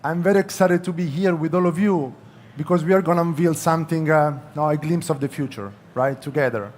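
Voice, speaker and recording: An Italian man with an Italian accent giving a product unveiling speech at a press conference, halting often as he goes. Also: on the high side, darkish tone, slight background noise. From Italy. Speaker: company executive presenting at a press conference.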